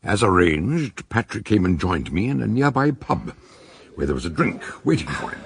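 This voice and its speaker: Gravelly older British doctor-detective. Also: male voice, on the low side, big pitch swings, moderate pace, exaggerated voice. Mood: measured storytelling. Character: shrewd, genial older male doctor turned detective. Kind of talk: British detective radio drama, narrating and conversing.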